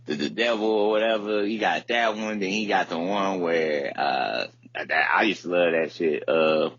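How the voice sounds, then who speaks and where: A man with a deep voice and a slightly rough edge talks casually as a podcast co-host. His speech is slangy and profane, and he often repeats phrases as he works out a point. The recording is a little dull and lo-fi. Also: normal speed, a bit bright, no echo. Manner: repeats and circles back on phrases. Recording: dull, lo-fi audio.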